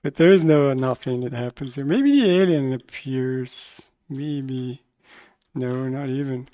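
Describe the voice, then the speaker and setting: A man in his thirties doing casual, low-key live commentary on a game, thinking aloud as he plays. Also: unhurried, dark tone. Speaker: streamer and game developer.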